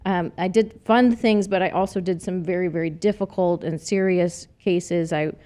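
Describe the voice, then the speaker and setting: A woman's voice: lowish voice, fairly even intonation, moderate pace, mellow. A middle-aged woman with a slight Texas accent, a political candidate talking earnestly in a casual podcast conversation, with many fillers and restarts. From New Mexico, raised in Arizona.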